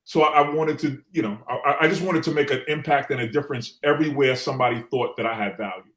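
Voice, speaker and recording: A man's voice: medium pitch, fast, fairly bright tone. A middle-aged man from New York City speaking as an interview guest, telling stories conversationally and repeating phrases for emphasis. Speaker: guest, former city council member. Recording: echoey room sound.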